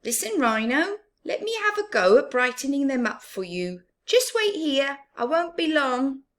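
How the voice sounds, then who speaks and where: A woman voicing a cheerful, helpful baboon, an encouraging old friend in a children's storybook, in an animated way. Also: sits high, unhurried, pitched above the performer's own voice. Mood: upbeat, encouraging.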